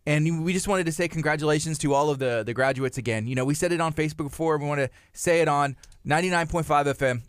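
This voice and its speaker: A man's voice: fairly high pitch, fast talker, few pauses, smooth, no echo, clean studio sound. Upbeat chatty radio host, man. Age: 30s. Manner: upbeat, chatty, laughs often, restarts phrases. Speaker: radio morning show co-host. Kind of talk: casual live radio chat. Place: radio studio. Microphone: studio microphone.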